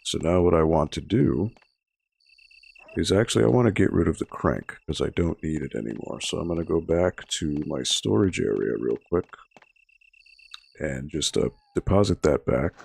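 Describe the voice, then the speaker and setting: A man in his thirties hosting a library livestream, hoarse and raspy from bronchitis, chatting casually with viewers and explaining each step as he plays. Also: rumbling low, very animated, normal speed, a little mellow. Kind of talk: casual gameplay commentary to a live chat.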